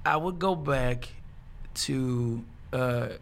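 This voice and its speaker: A man in his thirties, a songwriter talking as a guest in a casual interview. He speaks reflectively and haltingly, often restarting his sentences. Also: mid-range voice, creaky, a little hiss or hum. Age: 30s.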